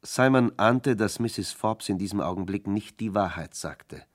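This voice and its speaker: A man's voice: lowish voice, a little slow. A man narrating a scripted German radio crime drama.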